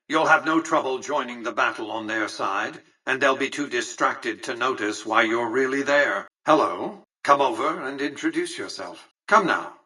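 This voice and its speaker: An actor playing an imperious, calculating elderly tycoon in a video game, speaking formally and condescendingly through a radio-like speaker. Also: male voice, medium pitch, sharp tone, slightly rough, far from the mic, rough recording. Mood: smug, scheming. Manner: clipped, condescending, formal and articulate. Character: imperious, calculating elderly male tycoon ruling a casino city. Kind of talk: video game character dialogue.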